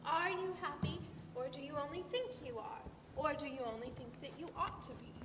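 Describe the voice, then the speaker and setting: A woman's voice: high voice, a little fast, over music, natural voice. A woman playing an earnest, condescending wife who lectures insistently about psychoanalysis in an amateur stage-play rehearsal. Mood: earnest lecturing. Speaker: amateur actor. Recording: distant mic, echoey hall, clearly noisy.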